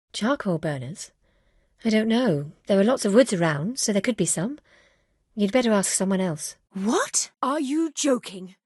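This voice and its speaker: Earnest widowed stud-farm mistress, close and dry. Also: female voice, lowish voice, darkish tone, no echo. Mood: guarded, troubled, then angry. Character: grieving, wary widow running a medieval stud farm. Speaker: video game character. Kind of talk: dramatic video game dialogue acting.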